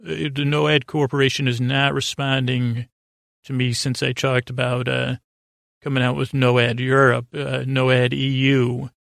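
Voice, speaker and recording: A man with a mid-pitched voice full of fry delivers a whimsical bedtime monologue. He drifts and hesitates, putting himself down as he digresses. The sound is dry, with no room echo. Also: flat delivery, a bit bright. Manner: meandering, halting, digressive, self-deprecating. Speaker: podcast host.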